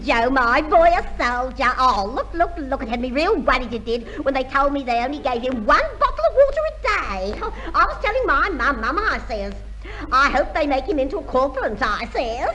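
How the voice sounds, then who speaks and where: An excitable, gossipy mother in vintage radio comedy. Her voice is very high and swoops about sharply as she chatters and repeats herself. There is faint noise on the old recording. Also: brisk, rough recording. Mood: excited, fretting. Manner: chattering, tags sentences with repeats. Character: garrulous, excitable working-class mother visiting an army camp. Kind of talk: 1950s radio comedy acting.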